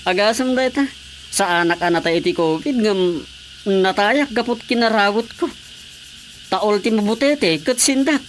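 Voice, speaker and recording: A woman's voice: mid-range voice, moderate pace, slight background noise, natural voice. A woman with an Ilocano Filipino accent playing a dead, gossipy village chairman in a radio comedy, chuckling and exclaiming. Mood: amused, embarrassed. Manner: chuckling, exclamatory comic delivery.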